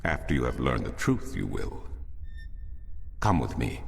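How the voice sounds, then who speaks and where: An actor playing a solemn older priest in a fantasy game, telling ancient lore in a measured, formal, portentous way. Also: male voice, rumbling low, sing-song, vocal fry, a little hiss or hum, heavily acted. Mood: solemn, knowing. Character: solemn older male temple priest, a storytelling loremaster. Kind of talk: grand scripted fantasy narration. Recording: music behind the voice.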